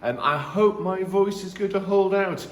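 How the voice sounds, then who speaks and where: A man with a slightly croaky voice, a primary school teacher leading an assembly in a chatty, gently jokey way. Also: high voice, normal speed, roomy sound. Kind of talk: friendly assembly address to pupils and families.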